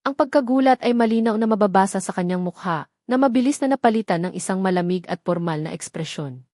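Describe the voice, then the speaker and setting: A woman reads a scripted romance tale in the role of storyteller. Her voice sits at an average pitch for a woman. The recording is dry, with hardly any room around the words. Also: lively intonation, quick pace, a little mellow, no room sound. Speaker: storyteller, narrator.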